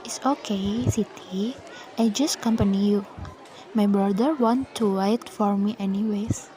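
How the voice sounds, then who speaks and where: A woman's voice: medium pitch, steady tone, a little slow, warm tone, a faint crowd, a little hiss or hum, close to an everyday voice, rough recording. A young woman with an Indonesian accent playing a two-faced schoolgirl in an amateur radio drama. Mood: friendly. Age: young adult.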